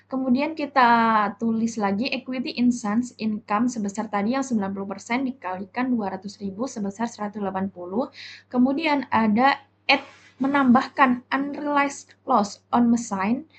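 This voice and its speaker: A young woman with an Indonesian accent gives a halting, step-by-step explanatory class presentation as a student. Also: fairly high pitch. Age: young adult. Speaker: university accounting student presenter. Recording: dull lo-fi audio, faint background noise.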